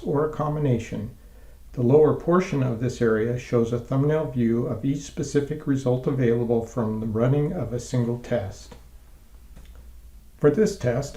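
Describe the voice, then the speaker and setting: Guy reading out tutorial steps methodically, gravelly voice, bit of room echo. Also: medium pitch, mellow. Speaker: software tutorial instructor. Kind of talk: scripted instructional narration.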